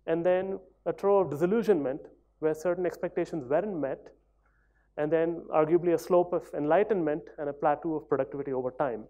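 Mellow-voiced man giving a conference talk. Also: fairly high pitch. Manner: conversational, hedging with fillers. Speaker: conference speaker. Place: large conference hall at a lectern.

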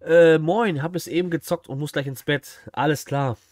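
A man's voice: fairly high pitch, fairly even intonation, moderate pace, darkish tone, no room sound, studio quality, soft background music. A man doing casual live-stream reaction commentary, chatting with viewers in short colloquial exclamations. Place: indoor streaming room. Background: outdoor flea market video noise.

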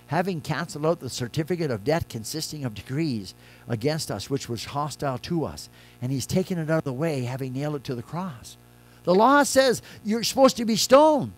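Older pastor preaching from the pulpit. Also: male voice, medium pitch, low-quality recording. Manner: conversational preaching, swings between loud emphasis and soft quiet lines. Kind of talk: sermon. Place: church sanctuary at a pulpit.

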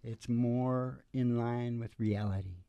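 Older man, mellow voice, emphatic stage chat. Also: mid-range voice, fairly even intonation, takes it slowly, gravelly, smooth, dry recording, highs cut off. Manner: colloquial, emphatic, rambling. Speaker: musician and show host. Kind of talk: casual opinionated stage chat. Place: small indoor stage. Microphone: close stage vocal microphone.

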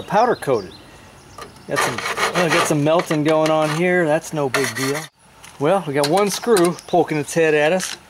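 A man in his forties hosting a casual do-it-yourself video, chatting in a folksy way while he works outdoors in a yard. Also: on the high side. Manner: chatty, folksy, talks while working with hands. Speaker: DIY video host. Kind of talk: casual how-to demonstration. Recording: outdoor hiss and birds. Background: birds and outdoor ambience.

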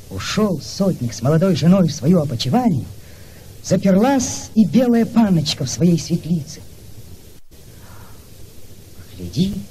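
Young lovestruck cossack storyteller in a radio play, high soft mellow voice, swoops around, bit of noise. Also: male voice, rough recording. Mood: tender, suspenseful. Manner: expressive, hushed suspense rising to excitement.

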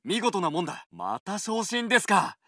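An actor playing a fierce, dutiful Japanese World War Two soldier with an urgent, clipped military delivery, in video game combat lines. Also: male voice, sits high, big pitch swings, moderate pace, bright, forceful tone, no room sound, studio quality, heavily acted. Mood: composed, respectful.

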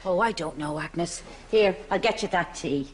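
A woman's voice: lowish voice, normal speed, a little hiss or hum, natural voice. A woman playing a kindly, dithery older neighbour with a Dublin accent in a sitcom. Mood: fussing concern. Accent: Dublin Irish. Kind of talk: sitcom acting.

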